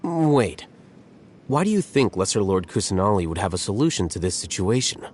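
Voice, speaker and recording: A man voicing a calm, scholarly strategist in a video game, speaking in a measured, explanatory way. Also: lowish voice, a little hiss or hum. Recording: game audio with faint music.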